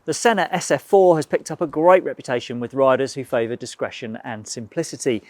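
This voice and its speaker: A man giving a brisk, practical scripted review of motorcycle intercoms for a gear shop. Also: mid-range voice, no room sound.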